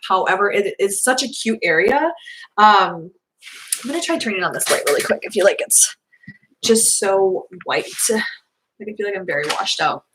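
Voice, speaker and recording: A young woman in her twenties chatting casually through viewer questions, rambling with lots of fillers, her voice slightly hoarse. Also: mid-range voice, animated, moderate pace, a bit bright, slight background noise, poor audio. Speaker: vlogger answering viewer questions. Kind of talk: casual live Q&A chat.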